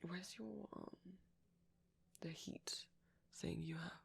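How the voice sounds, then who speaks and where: A performer playing a shy, caring vampire boyfriend in an intimate ASMR roleplay, speaking softly and breathily with hesitant pauses. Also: mid-range voice, steady tone, exaggerated voice. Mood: tender, hesitant. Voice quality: breathy, often near whisper. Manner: soft-spoken, breathy, halting with gentle pauses.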